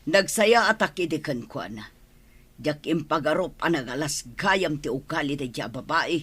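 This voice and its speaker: A woman's voice: low-pitched, expressive, moderate pace, fairly bright tone, husky, heavily acted. A woman with an Ilocano accent playing an emotional, plaintive older village mother in a radio drama, exclaiming melodramatically. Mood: hurt.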